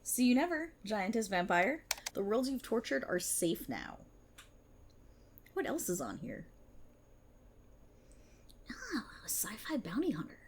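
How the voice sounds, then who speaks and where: Woman acting a smug, theatrical policewoman, swooping pitch. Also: sing-song, a little slow, pauses often, a little hiss or hum. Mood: gloating, vindictive. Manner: theatrical, sarcastic, mocking. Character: smug, vindictive female multiverse police officer interrogating a writer. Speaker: ASMR roleplay performer. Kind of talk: ASMR roleplay acting.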